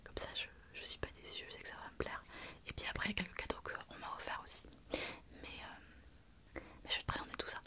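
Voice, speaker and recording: A woman with a head cold, softly whispering through an ASMR show-and-tell with many pauses and fillers. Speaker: ASMR creator.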